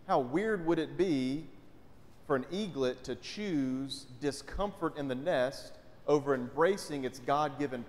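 Pastor, reverberant sanctuary. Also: male voice, on the high side, a little mellow, slight background noise. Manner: conversational preaching with rhetorical questions to the congregation. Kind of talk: sermon. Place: large church sanctuary, indoors. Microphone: pulpit mic. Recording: long church hall reverb.

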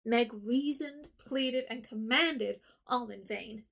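A woman giving steady scripted narration for an audio drama. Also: fairly high pitch, slow pace, vocal fry.

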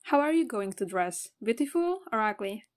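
A woman's voice: a little high, big pitch swings, moderate pace, a little hiss or hum. A woman voicing a curious, plain-spoken young school friend in a comic fandub.

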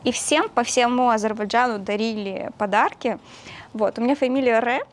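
A young woman from Russia, a doctor appearing as a guest, telling stories in a relaxed, chatty way and laughing often while recorded on a lapel mic in a small studio. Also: on the high side, moderate pace, slight background noise. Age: young adult. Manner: chatty storytelling, frequent laughter. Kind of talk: casual interview chat. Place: small studio with neon lights.

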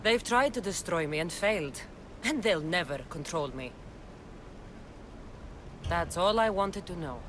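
A woman's voice: lowish voice, lively intonation, a little slow, pauses often, a little hiss or hum. An actress playing a determined young female warrior in a video game, asking direct, pressing questions. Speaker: game character.

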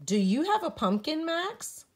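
A woman voicing an eager, curious young cat in a children's storybook, excitable and full of wonder. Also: high voice, measured pace, voice pushed up.

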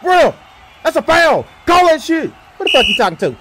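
A man's voice: very high-pitched, sing-song, moderate pace, noisy background. A man doing a profane comedic sports voiceover in slangy, emphatic, mock-serious speech.

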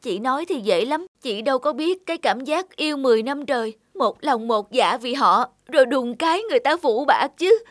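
A woman playing an emotional, heartbroken young woman in an animated drama, with plaintive, melodramatic delivery. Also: sits high, moderate pace, hardly any pauses, no echo, studio quality. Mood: bitter, upset. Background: background music.

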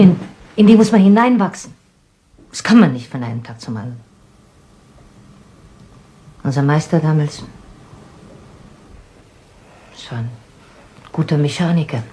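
An actress playing a weary, defensive widow who runs a garage, speaking in halting phrases in a 1970s radio crime play. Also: female voice, low-pitched, warm tone. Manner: halting, short broken phrases. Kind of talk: 1970s German radio crime drama acting. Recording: old radio recording with background noise.

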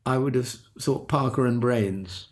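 An older man, a veteran voice actor, reminiscing in an interview with a halting, repetitive way of talking and soft chuckles. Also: medium pitch. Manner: halting, repeats words, chuckles softly.

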